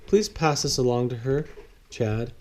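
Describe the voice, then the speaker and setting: A man voicing a brisk, proper woman supervisor in a storybook. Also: medium pitch, expressive, a little mellow, slight background noise, lightly acted.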